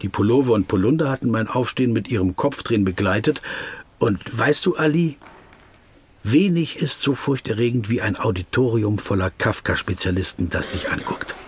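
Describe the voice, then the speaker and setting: A man's voice: lowish voice, animated, moderate pace, slight background noise, natural voice. An actor playing a pedantic, weary middle-aged man who tells his story in a circumstantial, self-ironic way. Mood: reflective. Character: wordy, pedantic middle-aged male legal counsel, weary and self-doubting. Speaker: narrator and protagonist. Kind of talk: scripted literary radio drama monologue.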